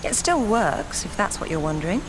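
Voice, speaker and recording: An actress playing a cool, witty British adventurer archaeologist in a video game, with poised, dry delivery. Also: female voice, mid-range voice, sing-song, brisk, heavy background noise, natural voice. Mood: wry, playful. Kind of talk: video game cutscene acting. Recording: loud music behind the voice.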